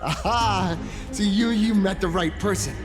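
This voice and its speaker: A man's voice: high-pitched, unhurried. A man playing a friendly, eager young explorer in a video game, chatty and earnest, with a stammer. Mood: cheerful, eager. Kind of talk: video game character dialogue. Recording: loud music behind the voice.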